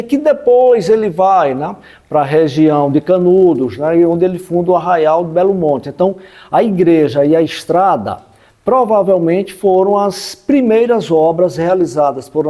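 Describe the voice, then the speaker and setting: A man's voice: fairly high pitch, warm tone. A man with a Northeastern Brazilian accent explaining local history off the cuff, in a didactic way with frequent check-in tags. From Brazilian Northeast, backlands of Sergipe.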